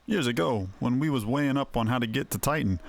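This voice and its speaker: A man playing a gruff, impatient veteran scout in a fantasy game, with blunt, colloquial speech. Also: medium pitch, quick pace, natural voice. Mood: gruff, instructive. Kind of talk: voiced game dialogue.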